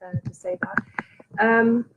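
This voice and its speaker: A woman interviewing a guest, asking halting questions and backing her up with frequent encouraging murmurs. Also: medium pitch, fairly even intonation, lo-fi sound, heavy background noise. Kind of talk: casual interview.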